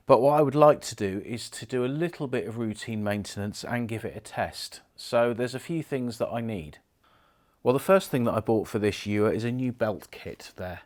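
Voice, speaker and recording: A middle-aged British man giving an informal, unhurried hands-on commentary, explaining things step by step as he works. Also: medium pitch. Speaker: presenter of a repair video, former radio engineer. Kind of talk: casual hands-on demonstration commentary.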